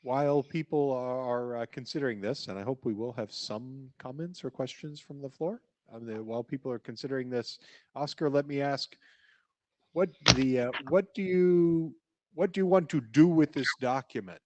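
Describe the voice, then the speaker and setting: Male session chair, mid voice, halting restarts, trails off, dry close sound. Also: mid-range voice, no room sound. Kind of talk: chairing a meeting discussion.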